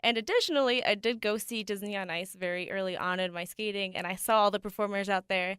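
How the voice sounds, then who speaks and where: A woman's voice: mid-range voice, quick pace, fairly bright tone, clean tone, no room sound, clean studio sound. A young woman, an ice skater raised bilingual in Denmark and the States, answering radio interview questions in an upbeat, eager way with frequent laughs. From United States and Denmark. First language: bilingual English and Danish. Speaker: ensemble ice skater, guest.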